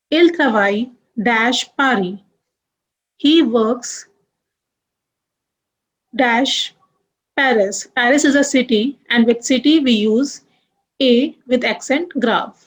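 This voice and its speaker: A young woman teaching French grammar, moving deliberately through examples and spelling out letters one by one. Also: on the high side, poor audio. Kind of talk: grammar lesson.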